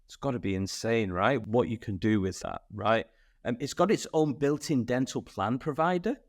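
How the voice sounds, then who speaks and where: A man's voice: medium pitch, normal speed. A man who owns a dental practice, chatting on a podcast over a video call. He tells stories with energy and often ends points with "right?". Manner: animated storyteller, tag questions. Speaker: practice owner. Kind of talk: casual podcast conversation.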